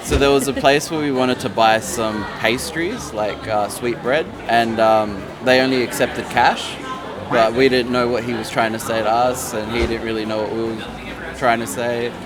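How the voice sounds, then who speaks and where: A man being interviewed as a traveler, telling a short story in a relaxed way with fillers. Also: medium pitch, moderate pace, a crowd in the background. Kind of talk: casual interview answers.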